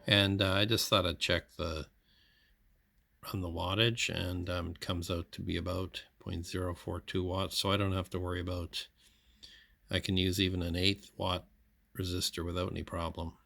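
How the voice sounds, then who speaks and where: Low-voiced man narrating a DIY project. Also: little pitch movement, vocal fry, fairly bright tone, no echo. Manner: hesitant, matter-of-fact, pausing often mid-sentence. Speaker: hobbyist electronics repair demonstrator. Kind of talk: unscripted how-to narration of a repair project.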